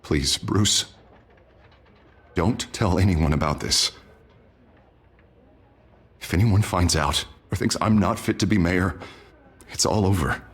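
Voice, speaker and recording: A man's voice: low voice, slow, long pauses, slightly rough, exaggerated voice. An actor playing a troubled young male mayor in a video game, swinging between friendly banter and tense, agitated talk. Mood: pleading. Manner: shifts from friendly to agitated muttering. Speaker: game character.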